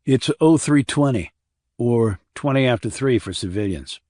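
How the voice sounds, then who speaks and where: A man's voice: medium pitch, expressive, moderate pace, a little mellow, dry recording, clean studio sound, close to an everyday voice. A man playing a terse, wry, formal Army investigator with clipped military delivery in an audiobook. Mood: clipped, official. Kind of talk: audiobook character acting.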